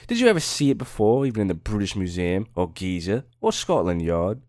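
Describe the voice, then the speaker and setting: A man voicing a proud, quick-tempered Egyptologist in a dramatic audiobook, firing emphatic, scornful questions. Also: fairly low pitch, sing-song, a little mellow, no room sound, clean studio sound, voice pushed up. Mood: indignant, scornful.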